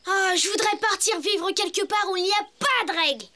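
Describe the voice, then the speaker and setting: An actor voicing a cheerful young robot child in a French cartoon dub, playful, eager and expressive. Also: extremely high pitch, fairly quick. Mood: excited. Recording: voice under loud cartoon music.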